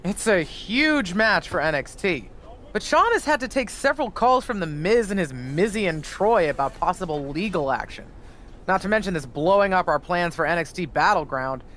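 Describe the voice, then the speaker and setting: An actress playing a harried, wry wrestling official in a conversational video game cutscene. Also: female voice, fairly low pitch, animated, moderate pace, fairly bright tone, slight background noise. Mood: worried. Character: harried wrestling show official juggling complaints. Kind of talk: conversational scripted cutscene acting.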